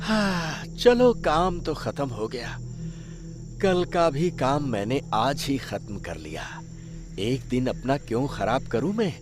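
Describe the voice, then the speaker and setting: A man's voice: medium pitch, very animated, slow pace. A man voicing a timid, plain-spoken village farmer in a cartoon story, with a hesitant, exaggerated delivery. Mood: concerned, tired. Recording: loud music behind.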